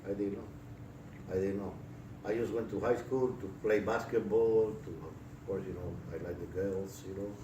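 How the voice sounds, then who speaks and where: Older puerto rican guy, real low voice, lots of uh and restarts, sounds far off in an echoey room. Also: darkish tone. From Puerto Rico. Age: 60s. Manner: halting, many fillers and restarts. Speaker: interviewee. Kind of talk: casual life-story interview. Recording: echoey room, distant mic, noisy.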